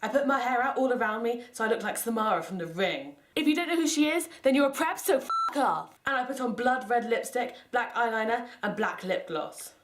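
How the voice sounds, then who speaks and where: A woman's voice: on the high side, lively intonation, brisk, few pauses, a little hiss or hum. A woman narrating fan fiction in the first person in a theatrical, mock-serious way. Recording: echoey room.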